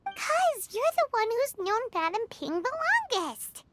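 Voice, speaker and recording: A woman voicing a tiny, curious, childlike sprite companion in a video game, blunt and squeaky. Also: sing-song, measured pace, music in the background, exaggerated voice.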